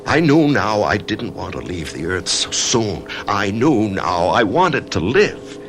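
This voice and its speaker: An actor playing a dazed male writer who narrates and wakes from surgery, in radio drama style, with a hushed, halting voice. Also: lowish voice, sing-song, faint music behind, full character voice. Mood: hushed, haunted. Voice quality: gravelly, hushed. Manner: halting, stammering when shaken. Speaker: narrator and lead character.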